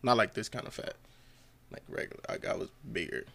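A man in his thirties, a comedian chatting casually and telling animated stories. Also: fairly quick. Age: 30s. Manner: animated storyteller, jumps high into character voices. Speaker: comedian guest. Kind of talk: casual chat.

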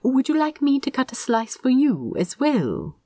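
Lady doing a polite angel man in an audio story, gentle but stubborn, soft careful words, lilting pitch, dry sound. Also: huge pitch swings, a little slow, no echo, full character voice. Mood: pleased, polite. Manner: polite, precise, soft-spoken. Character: gentle, prim, stubborn, teasing male angel.

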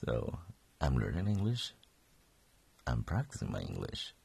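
Gravelly deep-voiced young man, just woken. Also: wildly swooping pitch, measured pace, a little mellow. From Colombia. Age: 20s. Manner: rambling, self-correcting, chuckling. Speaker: podcast host. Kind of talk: casual solo podcast.

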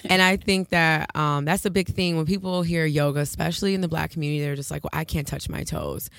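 A young woman with a Chicago accent, a yoga instructor chatting as a guest on a podcast, bubbly and quick to laugh. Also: low voice, fairly even intonation, creaky, fairly bright tone, no echo. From Chicago, USA. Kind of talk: casual podcast interview.

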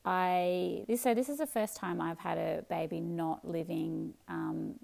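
Aussie woman in her 30s rambling thru her podcast, lots of ums, low-ish voice w heavy vocal fry. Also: a little slow. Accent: Australian. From Melbourne, Australia. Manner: relaxed, chatty, many ums and restarts. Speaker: podcast host. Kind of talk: casual solo podcast chat.

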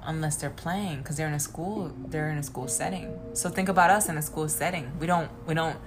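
American woman chatting, lowish voice over music. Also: fairly quick. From south side of Atlanta, United States. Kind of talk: casual chat over drinks. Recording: music behind the voice.

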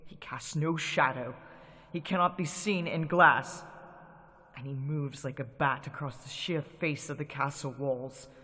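A man's voice: a little high, fairly bright tone. An actor playing a frightened young Englishman narrating his journal in a radio drama reenactment, earnest and tense. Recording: long hall reverb with music.

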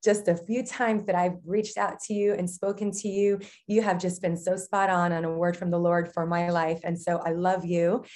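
A woman's voice: fairly low pitch, little pitch movement, normal speed, hardly any pauses, a little mellow. A woman in her forties from New Jersey, a guest pastor chatting casually on a podcast, bubbly and laughing often as she speaks with faith-filled enthusiasm. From New Jersey, USA. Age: 40s.

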